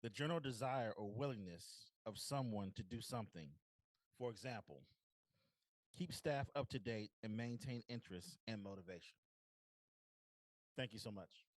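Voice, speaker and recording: A man at a club meeting reading out the word of the day and its definition, slow and measured. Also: fairly low pitch, no echo, poor audio. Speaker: meeting role-taker.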